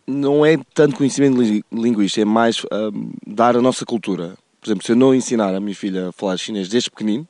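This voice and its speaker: Man, radio guest, calm and hesitant, lower voice, dry sound. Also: fast talker, hardly any pauses, no room sound. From Portugal. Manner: calm, hesitant, many fillers. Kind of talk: interview answers.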